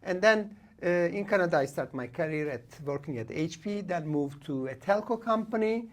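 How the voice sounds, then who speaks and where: This is a man in his late forties who grew up in Turkey and whose first language is Kurdish. He is a guest in a relaxed podcast interview in a studio. He speaks in short, halting bursts, filling the gaps with "uh" and restarting sentences as he goes. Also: a little high. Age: late 40s. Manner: talks in short bursts with frequent "uh" pauses and restarts. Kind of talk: casual interview.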